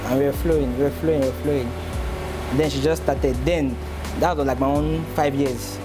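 A man's voice: medium pitch, steady tone, brisk, over music. A young Nigerian man, a shoemaker, chatting in an interview in a shoe showroom and playfully acting out his own lines as he tells his story. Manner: playful, quotes himself in dialogue. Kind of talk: casual interview chat.

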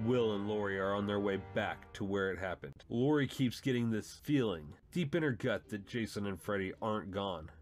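A man's voice: fairly low pitch, lively intonation, moderate pace, hardly any pauses, soft background music. A man hosting and narrating a comic book story as a dramatic reading. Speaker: channel host and narrator.

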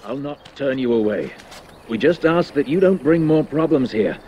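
An actor playing a weary but courteous armoured templar in a fantasy video game, grave and measured. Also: male voice, medium pitch, animated, slight background noise, close to an everyday voice. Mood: weary warning. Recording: slightly dull game audio, faint ambience.

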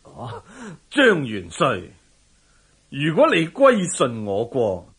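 A hearty Mongol prince and commander in a historical radio drama. He speaks slowly and grandly in a husky voice that swings widely in pitch, magnanimous and sure of his power. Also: huge pitch swings, fairly bright tone, exaggerated voice. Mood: persuasive, magnanimous. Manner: hearty, unhurried, laughing boastfully.

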